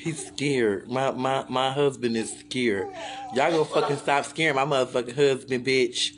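A woman's voice: rumbling low, moderate pace, crowd noise. A middle-aged American woman on a casual livestream, ranting bluntly and emphatically with lots of swearing, repeating her points. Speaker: livestream host. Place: living room, indoors. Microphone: phone at arm's length. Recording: noisy phone livestream.

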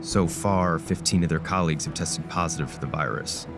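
Deep-voiced male news narrator. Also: fairly quick, music in the background. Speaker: news reporter. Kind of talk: scripted news voice-over.

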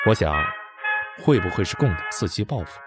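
An actor playing an earnest, loyal young army officer in a Chinese audio drama. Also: male voice, fairly low pitch, very animated, fairly quick, over music. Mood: formal, respectful.

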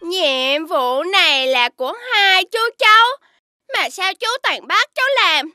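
A woman playing a crafty, cheeky peasant boy in an animated fairy tale, pert and argumentative. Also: extremely high pitch, unhurried, dry recording, clean studio sound, exaggerated voice. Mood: aggrieved, cheeky.

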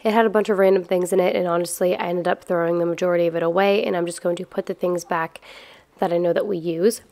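Female vlogger, slightly low pitch, casual chatty narration, very dry sound. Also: fairly even intonation, quick pace, gravelly, warm tone, no room sound. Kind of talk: casual scripted-feel vlog voice-over.

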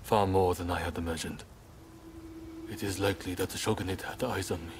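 An actor playing a composed, resolute samurai rebel leader in a video game, speaking in a guarded, formal, measured way. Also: male voice, deep voice, steady tone, a little hiss or hum. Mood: grave, brooding. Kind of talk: video game cutscene voice acting. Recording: dramatic music behind the voice. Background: game score.

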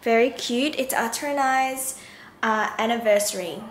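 A woman's voice: on the high side, moderate pace, a little hiss or hum. A young woman in her twenties chatting candidly to a handheld camera in a casual vlog, with asides and self-corrections. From Australia. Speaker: vlogger. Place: home and around the city, indoors and out. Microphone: handheld camera close to the face.

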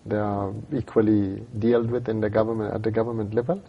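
A man in his fifties from Afghanistan with an Afghan accent and Dari as his first language, speaking English haltingly with fillers in a studio TV interview. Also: fairly low pitch, little pitch movement, muffled recording. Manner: halting, many fillers and restarts. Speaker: civil society director, interview guest. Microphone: lapel.

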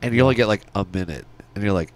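A man's voice: on the low side, rapid-fire, poor audio. A middle-aged man chatting casually and bluntly on a podcast, swearing freely, close to the microphone. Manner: foul-mouthed, blunt. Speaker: podcast guest who works as an audio engineer. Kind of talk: casual chat. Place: small podcast studio. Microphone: close podcast microphone with headset.

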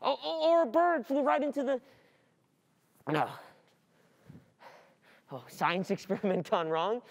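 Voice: medium pitch, sing-song, unhurried, gravelly. A performer playing an agitated, distracted student who thinks aloud in an exasperated, sarcastic theatrical monologue. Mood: frantic speculation. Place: indoor theatre stage. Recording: noisy stage recording from a distant camera.

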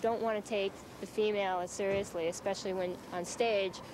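Flat-toned young woman on hissy VHS tape. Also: mid-range voice, brisk. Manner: matter-of-fact, listing things steadily. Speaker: lead singer of a band. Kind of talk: documentary interview. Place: outdoors on a city street at night.

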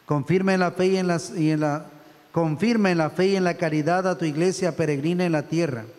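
Middle-aged priest praying in a reverberant church. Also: male voice, fairly high pitch, fairly even intonation, darkish tone. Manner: reverent, measured phrasing. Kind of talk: solemn liturgical prayer recitation. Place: church altar, indoors. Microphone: stand microphone at the altar.

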